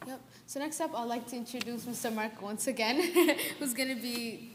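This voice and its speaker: Higher-voiced woman emcee with background noise. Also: normal speed. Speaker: event emcee. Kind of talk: casual unscripted announcing.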